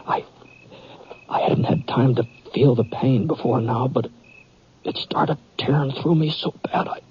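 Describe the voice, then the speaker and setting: An actor playing a wounded, plain-spoken gunfighter who narrates haltingly in an old-time radio western. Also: male voice, mid-range voice, slightly rough. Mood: pained, tense. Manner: halting, folksy drawn-out storytelling. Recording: muffled old radio recording with hiss.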